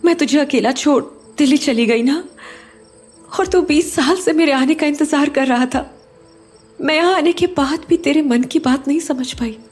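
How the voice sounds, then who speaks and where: A woman's voice: high voice, moderate pace, a little mellow, faint music behind, lightly acted. A woman playing a distraught but determined mother in a horror audio drama, earnest, emotional and pleading. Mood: sorrowful apology. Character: distraught determined mother haunted by her lost brother.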